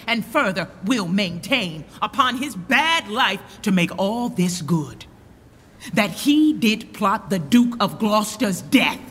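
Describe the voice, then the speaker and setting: A woman's voice: medium pitch, a bit bright, slightly rough, heavily acted. A woman playing a proud, wrathful young nobleman, declaiming scornful accusations in Shakespearean verse. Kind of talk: Shakespearean verse drama acting. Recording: long echoing hall reverb.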